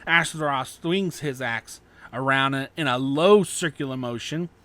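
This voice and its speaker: A man's voice: mid-range voice, unhurried, pushed and bright. A man narrating scripted fan fiction as an audiobook.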